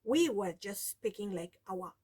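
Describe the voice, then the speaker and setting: A woman's voice: mid-range voice, animated, measured pace, warm tone, husky. A woman in her forties with a Kenyan accent, her first language Taita, telling her story to camera in a rambling casual vlog, with many restarts. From Kenya, Taita from the coast. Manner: rambling, with frequent restarts and "you know". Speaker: vlogger.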